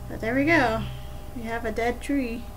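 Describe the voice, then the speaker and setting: Low-key young woman, noisy background. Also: normal speed. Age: young adult. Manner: low-key, musing to herself in short bursts. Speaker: animator livestreaming her work. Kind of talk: casual livestream chat while working. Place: indoor room at a desk. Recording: clear steady background noise.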